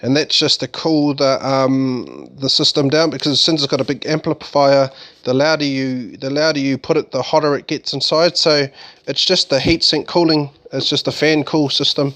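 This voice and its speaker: A man doing an informal, unscripted product demonstration as a reviewer, explaining things in a halting, loosely worded way. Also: medium pitch, a bit bright. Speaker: gear reviewer demonstrating a mixer amp.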